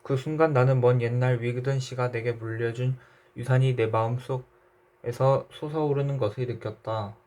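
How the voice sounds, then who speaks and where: Mellow-voiced man reading a story. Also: mid-range voice. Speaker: narrator reading a short story aloud. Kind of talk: scripted literary narration.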